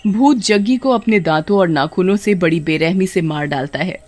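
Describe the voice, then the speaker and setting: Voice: mid-range voice, normal speed, darkish tone. A narrator reading a scripted horror tale for animation in a dramatic storytelling style.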